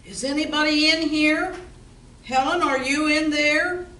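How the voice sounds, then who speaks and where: Woman playing a bright-voiced, slow-talking bar boss. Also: high voice, fairly even intonation. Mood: worried. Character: worried, take-charge woman bar boss. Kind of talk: amateur community theatre reading. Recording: roomy, distant mic with background noise.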